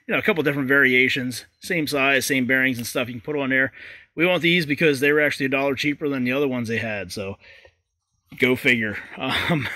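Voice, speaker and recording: A man's voice: mid-range voice, dry recording. A man hosting a home repair video, talking casually and folksy as he works in a garage. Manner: relaxed, folksy, chatty with fillers. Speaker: DIY video host. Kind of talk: casual how-to explanation.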